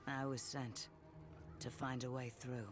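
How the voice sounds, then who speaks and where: Grim viking valkyrie, dry and terse, game cutscene, noisy background. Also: female voice, a bass voice, little pitch movement, a little slow. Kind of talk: video game cutscene acting.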